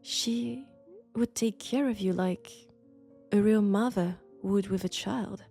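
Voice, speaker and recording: A woman with a French accent playing an elegant, condescending vampire scholar in an intimate roleplay audio drama, speaking deliberately and seductively. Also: mid-range voice, creaky. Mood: calm, explaining. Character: elegant, sophisticated, coolly curious female vampire scholar. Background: faint dark ambient music.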